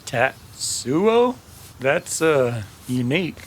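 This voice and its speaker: A man playing a wry, gruff cowboy gunman with a folksy manner, in an old-time radio Western. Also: fairly high pitch, big pitch swings, drawn-out words, a little hiss or hum, pitched above the performer's own voice, heavily acted. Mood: wry, friendly.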